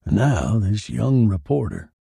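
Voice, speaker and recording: A man's voice: lowish voice, big pitch swings, unhurried, creaky, warm tone, slightly rough, no room sound, studio quality, heavily acted. A man voicing a bitter, sardonic dead husband who narrates his own noir horror story in a theatrical way. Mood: wry, brooding. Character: dead murdered husband, bitter, wry, macabre narrating corpse.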